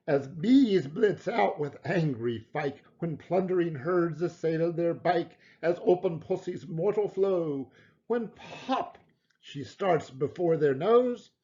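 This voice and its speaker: Dramatic man reciting poetry, widely swooping voice. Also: sits high. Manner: dramatic, emphatic delivery with deliberate pauses. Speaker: poetry reader. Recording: dull lo-fi recording.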